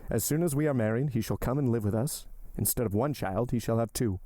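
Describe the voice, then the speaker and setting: A sorrowful but resolute young lover who speaks earnestly and solemnly, in a deep, dark, mellow voice, as an audiobook reader voices him. The sound is close and dry. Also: male voice, fast talker, no room sound, lightly acted. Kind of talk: audiobook character voice.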